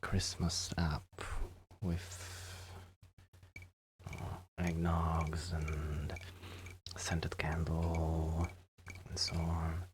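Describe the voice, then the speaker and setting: A man doing a soft-spoken, casual ASMR roleplay, chatting gently and hesitantly to the listener, at times dropping into a whisper. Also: a bass voice, completely flat delivery, drawn-out words, long pauses, noisy background. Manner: hesitant, rambling, gentle, often drifting into whispers. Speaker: ASMR performer playing a friendly host.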